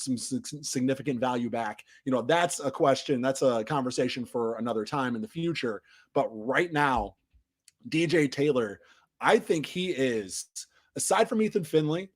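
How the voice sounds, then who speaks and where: A man hosts a casual soccer recap while his voice recovers from being lost. He rambles, restarts his sentences, and drops off at the ends of phrases. His pitch sits in an ordinary middle range for a man. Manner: rambling, frequent restarts and fillers, trails off quietly at phrase ends. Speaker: soccer podcast host. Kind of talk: casual match recap on a live stream.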